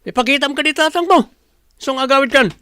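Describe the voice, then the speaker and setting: A performer with an Ilocano accent voicing a gruff, scornful, dismissive bully in a radio drama. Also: high-pitched, brisk, pushed and bright, slight background noise. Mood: scornful, angry.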